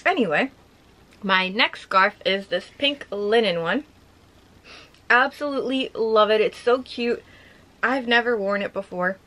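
A young woman chatting casually as she shows off items from her collection, gushing with lots of filler words and a slightly hoarse voice. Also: mid-range voice, unhurried, long pauses, bright, forceful tone. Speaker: vlogger showing her collection. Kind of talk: casual show-and-tell chat.

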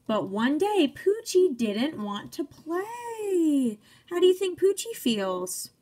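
A woman's voice: very high-pitched, sing-song, takes it slowly, warm tone. A young woman reading a picture book aloud to children like a teacher, encouraging and speaking in simple phrases. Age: young adult.

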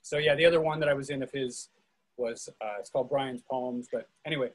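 A man's voice: medium pitch, fairly even intonation, a little fast, poor audio. A man hosting an online readers theatre, moderating a casual discussion over a video call. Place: indoors at home on a group video call.

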